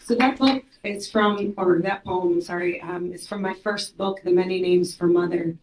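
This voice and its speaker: A woman in her thirties from Ukraine whose first language is Russian, reading her poems aloud in a measured, soft-spoken way at a mic stand in a small gallery room. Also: fairly low pitch, fairly even intonation, slight background noise. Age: 30s. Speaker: poet. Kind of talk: poetry reading. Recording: roomy room echo.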